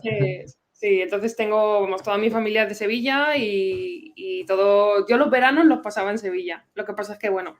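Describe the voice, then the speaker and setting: A woman from Madrid, a historian and novelist, talking about her work in a relaxed, chatty way as a podcast guest. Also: mid-range voice, moderate pace, few pauses. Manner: relaxed, chatty, laughs easily. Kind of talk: casual interview.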